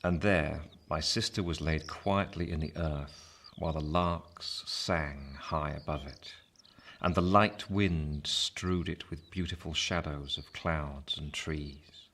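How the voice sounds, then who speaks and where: An actor playing a grown gentleman looking back on his life, narrating a radio play in a measured, reflective way. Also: rumbling low, steady tone, slight background noise, exaggerated voice. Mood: solemn, tender. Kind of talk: scripted first-person narration.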